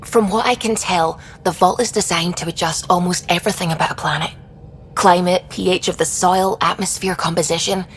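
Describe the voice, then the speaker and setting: A woman playing a thoughtful, devout scientist on a space expedition, explaining things in a measured, reflective way in video game dialogue. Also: on the low side, steady tone, slight background noise, natural voice. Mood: thoughtful, curious. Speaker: science officer character.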